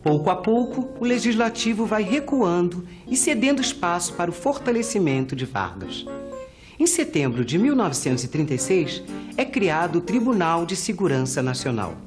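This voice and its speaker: A Brazilian woman narrates history in a formal scripted style. Her voice is fairly low and moves expressively, with music clearly heard behind it. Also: sing-song, normal speed. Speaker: documentary narrator. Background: prominent background music.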